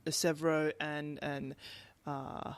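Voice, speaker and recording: A man co-hosting a podcast, talking through books casually in an animated, emphatic way and often repeating words. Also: fairly high pitch, slow, no room sound. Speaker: podcast co-host. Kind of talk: casual book discussion.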